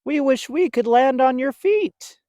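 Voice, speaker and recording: High, excitable little bird played by a storyteller. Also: measured pace, no room sound, studio quality. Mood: wondering. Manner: squawky exclamations, high and loud. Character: small excitable young baby birds, wide-eyed. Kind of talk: children's storybook read-aloud.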